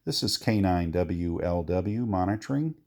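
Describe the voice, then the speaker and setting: A man's voice: low voice, expressive, measured pace, a little mellow. An American man giving a casual how-to walkthrough of amateur radio gear, explaining with repeated reminders.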